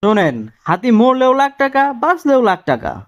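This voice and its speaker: Man acting a high-voiced cheeky cartoon job candidate, Dhaka accent. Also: big pitch swings, a little fast, a bit bright, exaggerated voice. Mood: confidently explaining. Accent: Bangladeshi Bengali, colloquial Dhaka. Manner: explanatory, colloquial, deferential with repeated "sir". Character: cheeky, quick-witted male job candidate. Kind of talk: comic cartoon dialogue.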